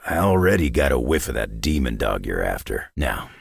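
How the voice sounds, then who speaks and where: A battle-hardened mutant brawler for a video game, growling from very deep in a husky rasp. He is gruff and cocky, swinging his pitch in dry, sardonic jabs. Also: very animated, normal speed, no room sound, full character voice. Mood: wry, low-key. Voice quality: gravelly, raspy growl. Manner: gruff, dry, sardonic tough-guy delivery. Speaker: video game character voice actor.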